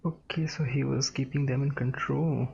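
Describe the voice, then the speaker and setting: A man's voice: medium pitch, fairly even intonation, moderate pace, creaky, noisy background. A man giving casual reaction commentary as he plays through a game story. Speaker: gamer reacting to a story quest. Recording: dull mic, game music behind.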